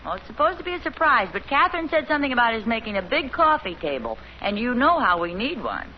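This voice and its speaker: An actress playing a pleasant, chatty, gently teasing American suburban mother in a 1950s radio sitcom, with an easygoing conversational manner. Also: fairly high pitch, big pitch swings, fast talker. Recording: muffled old radio recording, faint noise.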